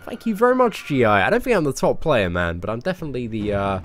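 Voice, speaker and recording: A man's voice: mid-range voice, wildly swooping pitch, quick pace, faint music behind. A young man live-streaming a mobile game, chatting casually with viewers while he plays, sounding a bit nasal from a cold. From England. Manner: chatty, many fillers, greets viewers by name. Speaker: gaming streamer.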